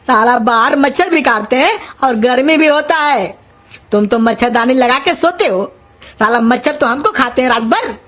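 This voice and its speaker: Woman voicing a high-pitched cheeky scheming young man. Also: lively intonation, normal speed, fairly bright tone. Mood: whiny, protesting. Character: cheeky, scheming young male pumpkin-nicknamed servant. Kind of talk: Hindi cartoon comedy voice acting.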